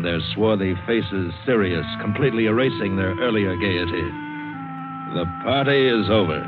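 An actor playing a world-weary, hard-boiled ship's mate in an old radio adventure drama, wry, terse and sardonic. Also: male voice, low-pitched, natural voice. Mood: grave, tense. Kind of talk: 1940s-50s radio drama narration. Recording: muffled old radio recording.

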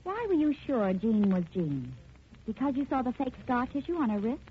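Casting: sassy gal companion to a sleuth, playful and animated, old phone-like radio sound. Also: female voice, fairly high pitch, normal speed. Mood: teasing curiosity. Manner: teasing, breezy. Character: sassy, curious female companion of the detective. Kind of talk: 1940s radio detective drama acting. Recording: tinny, noisy old radio recording.

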